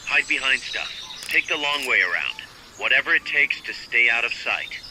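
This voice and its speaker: A confident military commander coaches a soldier through a sneaking mission over the radio. His voice sits at an ordinary male pitch, brisk and instructive. Noticeable background noise runs under the game audio. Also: animated, pushed and bright, poor audio. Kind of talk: video game cutscene and tutorial dialogue.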